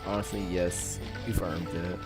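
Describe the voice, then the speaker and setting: Very deep-voiced woman gamer. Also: steady tone, a little slow, over loud music. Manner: slangy, playful reactions to characters. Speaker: game streamer. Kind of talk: casual live game commentary.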